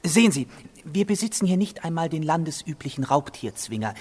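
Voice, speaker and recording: A man's voice: a little high, big pitch swings, fairly quick, darkish tone, husky. A man playing a youngish, odd European castellan in a German radio play, explaining in courteous, elaborate, formal phrases. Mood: formal, grave. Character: youngish, strange European castellan gone native, polite, faintly unsettled.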